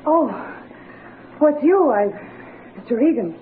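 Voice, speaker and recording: An actress playing a nervous, pleading society wife in a 1940s radio drama, flustered and hesitant. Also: female voice, high-pitched, expressive, slow. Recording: muffled, noisy 1940s radio broadcast.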